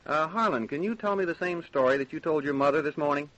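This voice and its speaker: An actor playing a measured, formal American inquest examiner questioning witnesses in an old-time radio drama. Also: male voice, fairly high pitch, a bit bright, lightly acted, rough recording. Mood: businesslike, patient.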